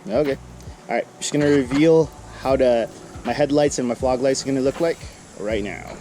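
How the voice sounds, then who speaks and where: A man's voice: mid-range voice, moderate pace, dark tone, slight background noise. A man hosting a vlog in casual, laid-back, slangy chat with an upbeat manner. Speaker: vlog host.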